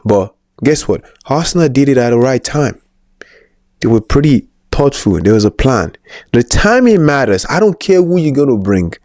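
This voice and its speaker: A man's voice: medium pitch, very animated, normal speed, darkish tone, no room sound, clean studio sound. A man with a Nigerian accent co-hosting a casual football chat show, opinionated with rambling asides. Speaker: co-host.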